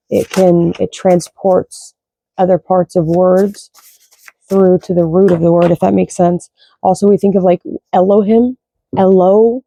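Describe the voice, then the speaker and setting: A woman with a low voice, chatting loosely and rambling as she reads cards, swearing and thinking aloud. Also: vocal fry, mellow, low-quality recording. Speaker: card reader. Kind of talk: casual rambling spiritual chat.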